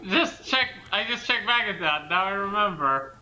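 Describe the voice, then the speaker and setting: A man co-hosting a casual commentary, speaking in a halting, hesitant way. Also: sits high, expressive, sharp tone, a little hiss or hum, rough recording. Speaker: co-host opening the show.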